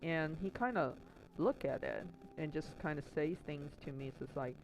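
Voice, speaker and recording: A man from Taiwan chats casually while he works on a craft project. His English carries a mixed Australian and Canadian accent, and he rambles, restarting his sentences and filling gaps with fillers. His voice sits a little high for a man, with a faint hiss of noise behind it. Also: moderate pace, poor audio. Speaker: Twitch streamer.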